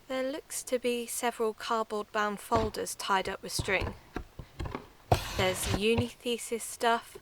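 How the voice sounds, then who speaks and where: A woman's voice: fairly high pitch, noisy background, close to an everyday voice. A woman playing an earnest, curious young investigative podcaster in a naturalistic audio drama, talking as if thinking aloud. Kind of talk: naturalistic audio drama acting, narrating into recorder.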